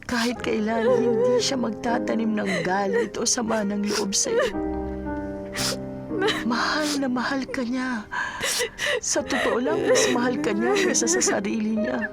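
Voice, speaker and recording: A woman's voice: fairly high pitch, big pitch swings, unhurried, over loud music. An actress playing a loving middle-aged mother earnestly counselling a daughter in a Filipino radio drama, with a Filipino accent. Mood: consoling, emotional. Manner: earnest, emotional, motherly advising.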